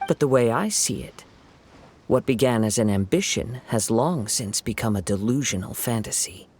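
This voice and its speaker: A man's voice: mid-range voice, animated, moderate pace, a little mellow, a little hiss or hum, natural voice. An actor playing a composed, principled young wandering swordsman in a video game, speaking in an earnest, formal, reasoning manner. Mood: grave, reproachful. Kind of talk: dramatic video game voice acting.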